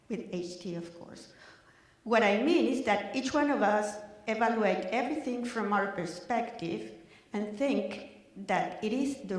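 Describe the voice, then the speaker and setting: An older woman facilitating a panel, speaking carefully to an audience with small restarts. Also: mid-range voice. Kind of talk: presenting to a live audience. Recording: very echoey conference hall.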